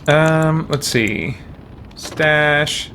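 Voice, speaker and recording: A man's voice: a little high, very slow, creaky, bright, forceful tone, a little hiss or hum. A man hosting a gaming video with casual commentary, thinking aloud as he talks to himself.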